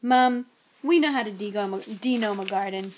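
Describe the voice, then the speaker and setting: A woman's voice: on the high side, very animated, normal speed, a little hiss or hum, voice pushed up. A woman voicing cheeky, grumbling teenage wizard brothers in an audiobook, with a colloquial, complaining manner. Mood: protesting. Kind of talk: audiobook character acting.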